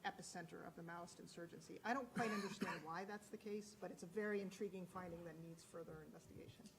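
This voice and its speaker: A woman's voice: lowish voice, fast. Woman, political scientist, panel talk, thoughtful, faint background noise. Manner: thoughtful, some false starts. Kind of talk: academic panel discussion.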